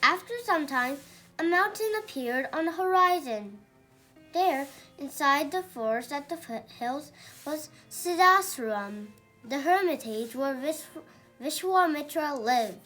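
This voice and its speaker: A young child narrates a mythological tale with a very high, lively voice that swings up and down. The reading is slow and deliberate, with strong emphasis and the odd stumble, and music plays along with it. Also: rough recording. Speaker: young storyteller reading aloud. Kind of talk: read-aloud mythological storybook narration.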